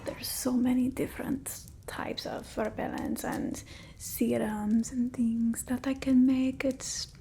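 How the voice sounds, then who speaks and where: A woman voicing a quirky, forgetful vampire potion-maker in a put-on Eastern European accent, breathy and rambling in soft ASMR style. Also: high voice. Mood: forgetful musing. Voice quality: breathy, whispery. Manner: rambling, absent-minded muttering, slow and halting. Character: quirky forgetful female vampire potion-maker with old-world folk remedies. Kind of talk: ASMR roleplay, whispered and soft-spoken.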